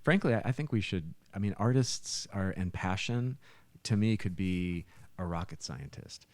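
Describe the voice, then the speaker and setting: A man's voice: deep voice, expressive, moderate pace, no echo. A man who hosts a podcast, talking casually and at length in an anecdotal way, often restarting his phrases. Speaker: podcast host and musician.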